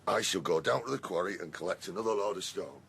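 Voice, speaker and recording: Deep gravelly earnest carthorse. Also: male voice, fast talker, a bit bright, a little hiss or hum. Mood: earnest, determined. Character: big earnest male carthorse, loyal hard worker. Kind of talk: radio drama acting.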